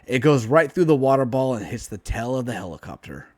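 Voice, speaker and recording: A man reading a superhero story aloud in a dramatic, emphatic storytelling style with deliberate phrasing. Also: mid-range voice, very animated, a little mellow, no echo, studio quality. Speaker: author reading own story, writing teacher.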